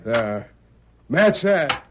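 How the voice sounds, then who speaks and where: An actor playing an excitable, folksy card player in an old radio western, with an American accent. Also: male voice, mid-range voice, very slow. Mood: irritated. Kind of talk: 1940s radio western acting. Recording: muffled old radio recording, faint noise.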